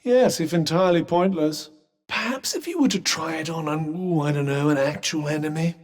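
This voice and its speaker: An actor voicing a snooty, sardonic talking magical cuff in a video game, with a refined British accent and a dry, pompous manner. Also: male voice, on the high side, moderate pace, lightly acted. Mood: mocking, goading. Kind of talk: game companion combat barks. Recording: long hall-like reverb.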